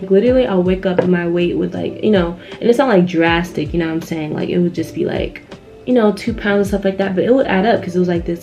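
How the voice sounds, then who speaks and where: Young woman youtuber just chatting, rambly n slangy, mellow voice, kinda muffled audio w music behind. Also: lowish voice. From Ghanaian background. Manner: informal, rambling, slangy, heavy use of "like". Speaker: YouTube vlogger. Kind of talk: casual chat to viewers, personal storytelling.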